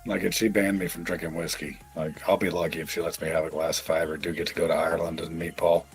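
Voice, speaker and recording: A man's voice: low voice, flat delivery, fast, soft background music. A man in his forties hosting a live trading stream, chatting casually and telling rambling, joking stories.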